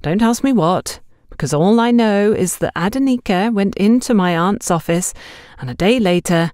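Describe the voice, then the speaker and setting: Woman acting chatty young shop girl, animated and high. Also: normal speed, no echo, clean studio sound, natural voice. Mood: indignant, confiding. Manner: confiding, dramatic storytelling.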